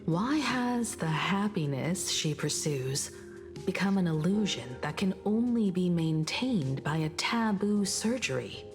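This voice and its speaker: An actress playing a composed adult woman who speaks calmly and earnestly about an old friend, in a game. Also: on the low side, a little slow. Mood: reflective. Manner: calm, reflective and earnest. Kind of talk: game voice acting. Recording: game audio over loud music. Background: game music.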